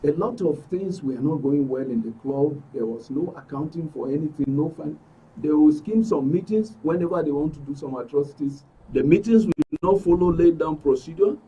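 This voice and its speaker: Male interview guest, middle-aged, Nigerian accent. Slightly high, rough-edged voice, emphatic delivery, off-mic sound. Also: moderate pace, warm tone, distant mic, a little hiss or hum, rough recording. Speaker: studio guest, aggrieved club member. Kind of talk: spoken account in a broadcast interview. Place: radio and TV studio.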